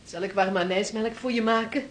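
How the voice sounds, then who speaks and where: Actress as doting, worried sweetheart, noisy recording. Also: female voice, medium pitch, steady tone, fairly quick, lightly acted. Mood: fussing, tender. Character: doting, worried sailor's sweetheart, gently scolding. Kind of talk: intimate Dutch radio play acting.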